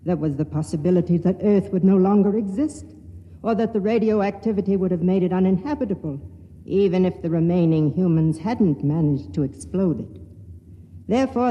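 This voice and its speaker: An actor voicing a grave male chronicler who reads his forbidden account in a solemn, measured way in a 1950s radio drama. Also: high voice, lo-fi sound, natural voice. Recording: reverberant vintage radio recording.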